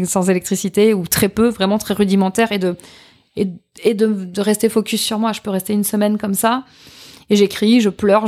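A middle-aged woman speaks as an interview guest. Her voice sits at a medium pitch. She tells her story candidly, in informal and expressive conversational language. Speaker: podcast guest, coach.

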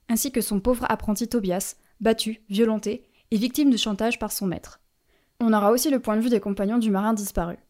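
A woman hosting a student radio show about video games, reading a prepared chronicle in a clear, measured way with a touch of drama. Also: mid-range voice, dark tone. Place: radio studio.

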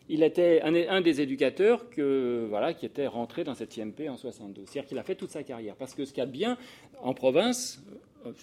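A man in his sixties from the Paris region speaks as a sociologist to an audience of professionals. His voice sits at an average male pitch. He talks informally and haltingly, interrupting himself, repeating words and drifting into personal asides. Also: mellow. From Paris region, France. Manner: hesitant, digressive delivery with self-interruptions and repeated words. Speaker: sociologist giving a talk to social work professionals. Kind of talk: informal lecture with personal anecdotes.

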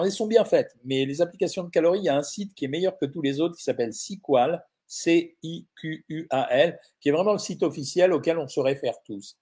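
A man's voice: a little high, dark tone, breathy edge. A middle-aged man, a nutritionist answering viewer questions in a casual live session, reading comments aloud and replying bluntly with many fillers. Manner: informal, blunt, uses tu with viewers, frequent euh.

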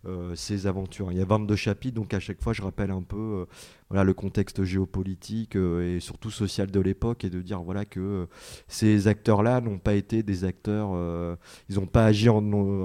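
A man's voice: sits low, dark tone. A man, an author and journalist, answering audience questions at a book talk, hesitant with many fillers and restarts. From France.

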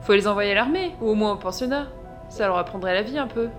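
A woman's voice: medium pitch, fast, soft background music, natural voice. A woman playing a hot-tempered gangster in an audio drama, blunt, cutting and scornful. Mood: mocking. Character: abrasive, hot-tempered female gangster, harsh and mocking.

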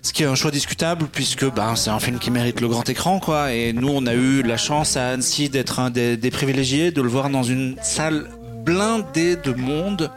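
A man's voice: mid-range voice, moderate pace, few pauses. Man chatting informally, faint music behind. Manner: informal, slangy, hesitant searching for words. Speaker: podcast co-host reviewing films. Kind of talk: casual chat, film review.

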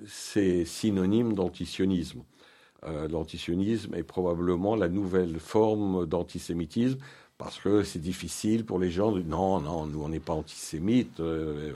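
A man hosting an interview in an informal, conversational way, halting and rephrasing as he goes. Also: low-pitched, mellow. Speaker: interview host.

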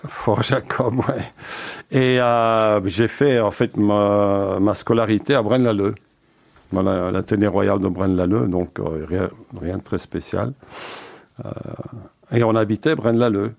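A man's voice: on the low side, steady tone, a little slow, vocal fry, a little mellow. A man in his seventies, born in Belgium, answering interview questions about his career at an easy pace, with many hesitations and restarts. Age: 70s. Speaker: company founder guest. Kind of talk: relaxed interview talk.

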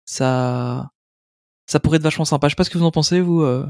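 A man with a Belgian French accent hosts a casual podcast and reads out listener questions. He talks in a friendly, searching way and pauses on fillers. His voice is a bit higher than most men's, recorded close and dry. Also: darkish tone, no echo. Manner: friendly, hesitant, many fillers.

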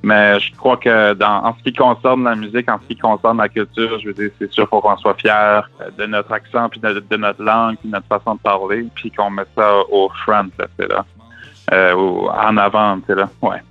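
A man's voice: on the low side, normal speed, dry recording. Acadian man on a tinny line. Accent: Acadian French. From northern New Brunswick, Canada. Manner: relaxed, loose, many fillers, English words mixed in. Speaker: musician guest. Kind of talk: casual interview answers. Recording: tinny phone-like, dry, faint music.

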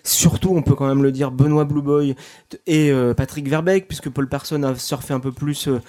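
A man's voice: mid-range voice, little pitch movement, moderate pace, mellow. A man hosting a radio show, asking long questions with frequent hesitations and restarts. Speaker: radio host. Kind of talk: radio interview.

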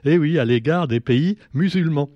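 Sarcastic radio press reviewer, dry sound. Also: male voice, on the high side, normal speed, dry recording, dull audio. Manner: sarcastic, ironic, with rambling asides. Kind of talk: joking press review commentary.

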